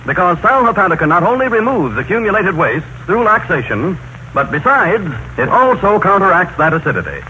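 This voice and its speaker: A man's voice: high voice, very animated, fairly quick, bright, forceful tone, dull audio. A male announcer delivering scripted 1930s radio advertising, persuasive and carefully phrased, on an old scratchy recording.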